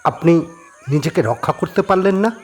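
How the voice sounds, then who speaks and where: A man's voice: on the high side, expressive, brisk, a little hiss or hum, lightly acted. A man playing an earnest young man in a horror audio drama, speaking in a respectful, questioning way. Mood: puzzled. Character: earnest young man questioning a spirit about his past.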